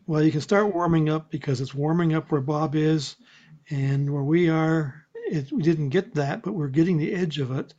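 A man's voice: a little high, moderate pace, dark tone, lo-fi sound. A man presenting a radio show in casual group conversation, with a dry, joking way of talking.

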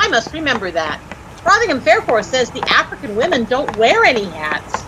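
An actress with an American accent playing a chirpy, easily dazzled young housewife who gushes and lightly scolds in a 1940s radio comedy. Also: female voice, a little high, wildly swooping pitch, normal speed. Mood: gushing, admiring. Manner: gushing, breathlessly admiring, lightly scolding. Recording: noisy old-time radio recording.

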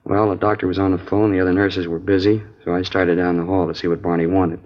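A man's voice: low voice, level tone, fast talker, lightly acted. An actor playing a plain-spoken, confiding male nurse informant in a 1950s radio drama. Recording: dull old radio recording.

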